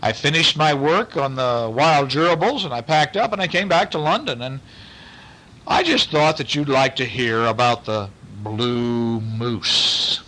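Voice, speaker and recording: Man putting on a pompous old English gentleman. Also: mid-range voice, expressive, a little slow, rough recording. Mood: blustering, amused. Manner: pompous, clipped exclamations, upper-class old-fashioned phrasing.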